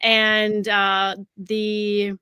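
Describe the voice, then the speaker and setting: A woman's voice: mid-range voice, monotone, drawn-out words, sharp tone, clean tone, no echo, clean studio sound. A woman in her thirties from Canada, a college recruiting scout chatting as an interview guest in an upbeat way with lots of fillers. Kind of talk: casual interview conversation.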